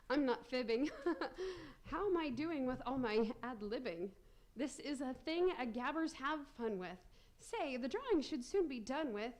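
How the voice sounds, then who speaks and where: Lady playing chatty radio reporter down in hell, high voice, jokey rhyming asides. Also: a little mellow, close to an everyday voice. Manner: chatty, joking, stalling in rhyme. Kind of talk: radio theater narration.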